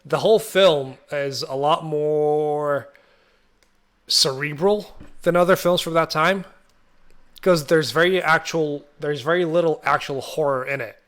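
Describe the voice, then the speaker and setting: Guy rambling on about a movie, excitable, slightly higher voice. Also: a little slow. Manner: rambling, excitable, does sound effects and quoted voices. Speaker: podcast co-host. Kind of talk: casual film chat.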